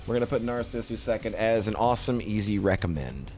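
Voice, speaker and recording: A man streaming game reviews in a casual, rambling, expressive and effusive way, talking to a live chat and swearing casually. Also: lowish voice, quick pace, faint music behind.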